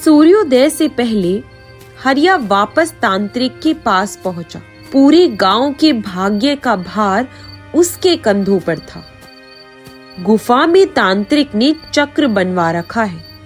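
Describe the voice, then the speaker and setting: Slow-paced woman narrating a story. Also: fairly high pitch, expressive, soft background music.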